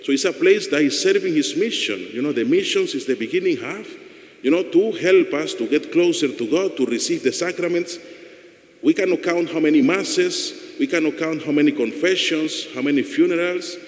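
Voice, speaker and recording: A man, a parish priest whose first language is Spanish, speaking accented, halting English to his congregation in a church. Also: fairly high pitch. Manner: halting non-native English with "no?" tags. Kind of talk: homily and informal remarks to a congregation. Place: inside a church. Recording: echoey church with long reverb.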